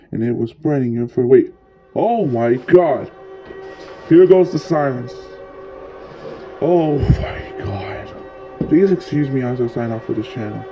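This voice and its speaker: Halting male news anchor, dull recording man acting. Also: mid-range voice, natural voice. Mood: alarmed. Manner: halting, stumbling. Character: anxious male TV news anchor during disaster. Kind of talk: amateur mock news bulletin.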